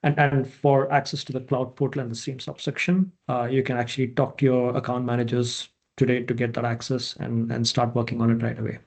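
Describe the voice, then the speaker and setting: Gravelly, mellow-voiced man presenting. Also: mid-range voice, brisk, hardly any pauses. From India. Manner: relaxed, with many uh fillers and restarts. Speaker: product manager presenting. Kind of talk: online conference presentation. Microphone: video call.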